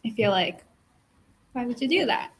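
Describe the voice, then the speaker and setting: A woman's voice: a little high, lively intonation, normal speed, slight background noise. A woman knitwear designer whose first language is Japanese, answering interview questions thoughtfully and modestly. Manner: thoughtful, modest, gentle laughs. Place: yarn shop with a small gathering.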